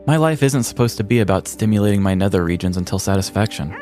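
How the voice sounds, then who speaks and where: A man's voice: on the low side, expressive, fast talker, dark tone, soft background music. An American man hosting a podcast, reading a scripted comedic story with arch, theatrical mock-seriousness. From United States. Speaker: podcast host.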